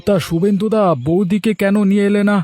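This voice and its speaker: A man's voice: high voice, steady tone, quick pace, warm tone, no echo, soft background music, lightly acted. A man voicing a mild, agreeable village husband in a cartoon. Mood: curious. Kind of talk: Bengali cartoon voice acting.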